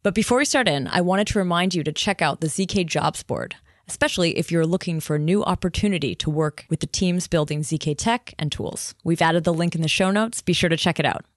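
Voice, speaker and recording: Woman host; medium pitch, dry close sound; upbeat, conversational. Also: expressive, no echo. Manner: upbeat and chatty, with quick laughs. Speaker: podcast host. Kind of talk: interview host chat.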